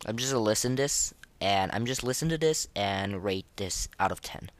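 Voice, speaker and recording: A man hosting a casual, unscripted gaming podcast, speaking in a halting, repetitive, informal way. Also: fairly low pitch, expressive. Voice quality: voice that cracks now and then. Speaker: podcast host.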